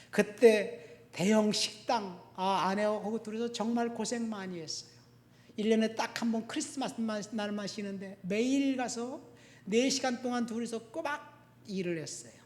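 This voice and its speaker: A man in his sixties preaching as a pastor, emphatic, moving between forceful declarations and hushed lines. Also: sits high. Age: 60s. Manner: repeats key phrases for the congregation to follow. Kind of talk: sermon to a congregation. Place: church sanctuary. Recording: echoey hall with long reverb.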